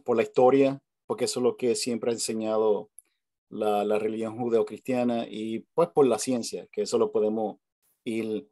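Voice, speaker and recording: A man's voice: lowish voice, steady tone, normal speed, dark tone, no room sound, studio quality. A man with a Puerto Rican accent, hosting a casual video call chat, talking hesitantly with many fillers and restarts. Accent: Puerto Rican Spanish. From Puerto Rico. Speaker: host. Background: family noise at home.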